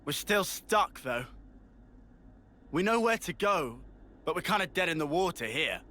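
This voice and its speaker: A blunt young soldier with a bright, forceful voice that leaps up and down. He talks plainly and excitably, in a game cutscene. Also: on the high side, a little slow, full character voice. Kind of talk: video game cutscene voice acting.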